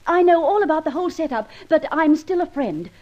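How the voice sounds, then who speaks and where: An actress playing the kindly, urgent accomplice of a gentleman adventurer in an old radio drama, earnest, insistent and polite. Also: sits high, lively intonation, brisk. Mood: reassuring. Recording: dull, lo-fi old radio recording.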